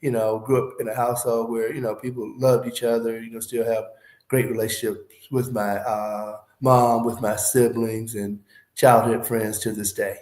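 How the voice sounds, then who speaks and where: Relaxed Southern man, echoey room. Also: medium pitch, moderate pace. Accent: Southern US with Midwestern speech. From Alabama, USA. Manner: relaxed, frequent "you know" fillers. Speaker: surgeon and interview guest. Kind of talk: casual interview talk.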